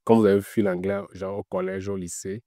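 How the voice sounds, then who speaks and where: A man's voice: sits low, little pitch movement, a little fast, dark tone, no room sound, clean studio sound. A man being interviewed, giving casual career advice in an explanatory way, repeating his points with frequent filler words. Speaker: interview guest working in Web3.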